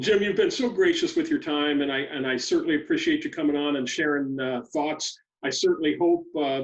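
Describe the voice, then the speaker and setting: An American man hosts an interview podcast. His voice is on the higher side and he speaks conversationally. He sounds a bit far from the microphone. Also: dull audio. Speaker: podcast host.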